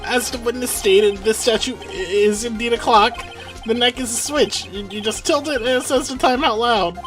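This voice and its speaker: An amateur player voicing a polite, smug male prosecutor in a courtroom video game, formal and deliberate. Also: mid-range voice, music in the background, close to an everyday voice. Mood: polite, explanatory.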